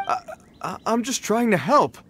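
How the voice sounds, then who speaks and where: An actor playing an earnest, well-meaning but awkward guard on patrol, formal and hesitant, as a video game character. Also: male voice, extremely high pitch, big pitch swings, moderate pace, fairly bright tone, a little hiss or hum, heavily acted. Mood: flustered, resigned.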